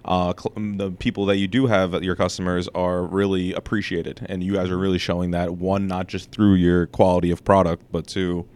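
A man's voice: low voice, flat delivery, fairly quick, hardly any pauses, darkish tone, smooth. A man hosting a local podcast, asking questions and praising his guests. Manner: affirming, encouraging, lots of "like". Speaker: podcast host. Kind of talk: interview hosting.

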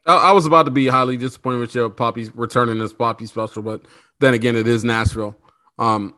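A man's voice: medium pitch, steady tone, fast talker, sharp tone, dry recording. Male host, playful and loose, repeats lines, dry close sound. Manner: playful, repeats himself, slangy. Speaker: podcast host. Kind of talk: casual sports chat.

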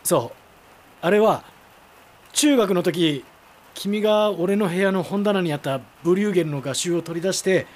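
An earnest younger man in a radio drama. His voice sits high for a man, and he talks in a familiar, natural way. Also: normal speed. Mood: earnest, searching.

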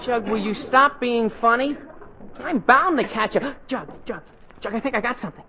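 A man's voice: very high voice, animated, moderate pace, lo-fi sound, a crowd in the background. An actor playing a cheery, stubborn American teenage boy, eager and boastful, in 1940s radio comedy style. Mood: excited. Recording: noisy old radio recording.

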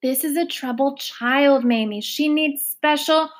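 A woman's voice: sits high, unhurried, voice pushed up. A woman voicing a firm, businesslike female social caseworker in a storybook reading. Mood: persuasive.

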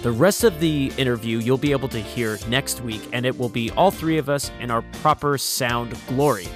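A man's voice: medium pitch, moderate pace. Man narrating over guitar music. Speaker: podcast host. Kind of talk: scripted narration. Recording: music bed under voice.

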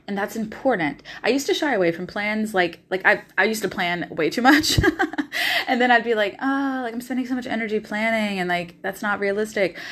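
A woman's voice: medium pitch, a bit bright. Enthusiastic Southern woman podcaster. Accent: Southern American. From American South. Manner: enthusiastic, uses fillers and swearing freely. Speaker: podcast host. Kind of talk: casual motivational monologue.

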